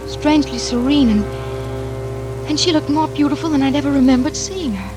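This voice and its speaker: An actress playing an anxious, trapped daughter in a 1940s suspense radio drama, tense and dramatic, halting when flustered. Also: female voice, sits high, close to an everyday voice. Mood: weary, haunted. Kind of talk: 1940s radio acting, first-person suspense narration. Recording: vintage radio drama with music behind.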